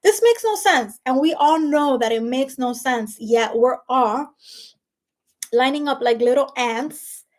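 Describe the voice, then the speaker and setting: A woman's voice: high voice, unhurried. A woman with a Spanish accent giving a casual motivational monologue as a life coach, chatty and emphatic, full of "like" and "right?". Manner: emphatic and preachy.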